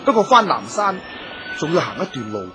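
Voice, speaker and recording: A man's voice: on the high side, very animated, moderate pace, over music. A man playing a courteous, dutiful scholar-official in a Cantonese radio drama, earnest, formal and theatrical.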